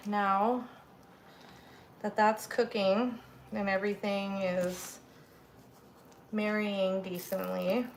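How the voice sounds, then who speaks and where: A woman with a gravelly, raspy voice talks through her cooking in a home kitchen, a little under the weather. She takes her time, rambling casually from one step to the next. The recording carries clear background noise from the room. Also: medium pitch, a bit bright. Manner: chatty, rambling, narrates each step while cooking. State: a little sick. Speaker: cooking livestream host. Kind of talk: casual cooking livestream chat.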